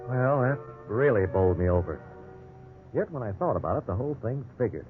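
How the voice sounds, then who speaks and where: A man's voice: medium pitch, animated, brisk, over music, close to an everyday voice. An actor playing an earnest, indignant ordinary man wrongly held by police, in 1950s radio drama style. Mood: rueful narrating. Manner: earnest, aggrieved. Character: indignant, wrongly jailed ordinary man telling his story. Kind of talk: 1950s radio drama acting with first-person narration. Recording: tinny, noisy old radio recording.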